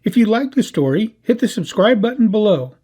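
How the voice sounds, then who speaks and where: A man's voice: high voice, expressive, fairly quick, dark tone, husky. A man delivering a scripted sign-off as announcer for a children's channel.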